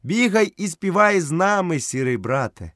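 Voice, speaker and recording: A man's voice: high voice, sing-song, moderate pace, a bit bright, no echo, studio quality, voice pushed up. A man voicing carefree, impatient jungle animals who call out dismissively in a storybook reading.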